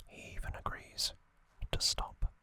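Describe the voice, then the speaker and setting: Slow soft whisper, ASMR host. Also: dry recording. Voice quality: unvoiced whisper. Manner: slow rambling whisper with fillers. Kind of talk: whispered ASMR trivia talk.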